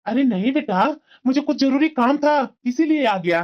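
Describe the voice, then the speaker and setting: A man's voice: very high-pitched, lively intonation, normal speed, dull audio. A man playing an evasive husband and doting uncle in a moral-story cartoon, irritable at home. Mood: indulgent.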